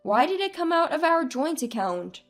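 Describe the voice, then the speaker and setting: Woman acting an indignant, high-voiced exasperated wife. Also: sing-song, moderate pace, faint music behind. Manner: emphatic, questioning, argumentative. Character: indignant, exasperated young wife standing up for herself. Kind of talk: acted dialogue in a narrated family drama story.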